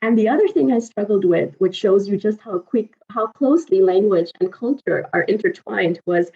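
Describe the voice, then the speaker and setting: A woman in her forties whose first language is Urdu, telling her story as an interview guest, lively and chatty with frequent laughs and fillers. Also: medium pitch, normal speed, creaky. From Pakistan. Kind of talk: conversational interview. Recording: slightly dull lo-fi audio.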